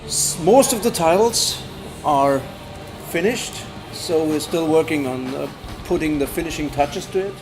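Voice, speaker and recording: German-accented singer, halting speech. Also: male voice, fairly high pitch, over music. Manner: halting, many fillers and restarts. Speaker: band singer. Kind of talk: casual interview chat.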